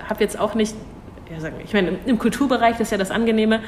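A young woman answering interview questions in a thoughtful way, hesitating and restarting, quick to laugh. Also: fairly high pitch, a little mellow, a little hiss or hum. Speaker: cultural attaché at an embassy.

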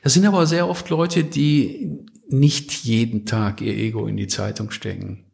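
A man's voice: medium pitch, fairly even intonation, unhurried, vocal fry, darkish tone. A man from Westphalia speaking as an interview guest in a casual, blunt, colloquial way. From Westphalia, Germany. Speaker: podcast guest, book publisher.

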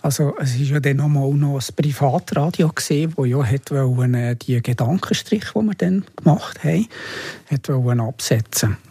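Husky, mellow Swiss German man. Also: medium pitch, moderate pace, no echo. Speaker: interview guest, former media editor. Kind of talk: informal reminiscing conversation.

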